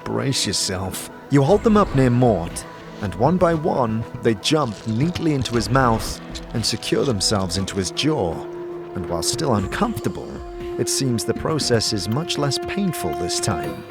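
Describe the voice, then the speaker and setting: A man's voice: mid-range voice, very animated, unhurried, music in the background. A man performing dramatic second-person narration and dry, teasing adventurer dialogue in a fantasy audio drama. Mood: amused, encouraging. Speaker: narrator and game character.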